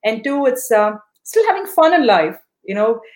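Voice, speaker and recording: A woman's voice: fairly high pitch, very animated, moderate pace, a little mellow. Encouraging woman podcast host. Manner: encouraging, full of fillers. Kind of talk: interview hosting.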